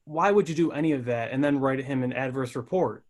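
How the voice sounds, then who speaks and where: A man acting an earnest, probing military lawyer with halting questions in a table read. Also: mid-range voice, a little mellow, natural voice. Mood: puzzled, probing.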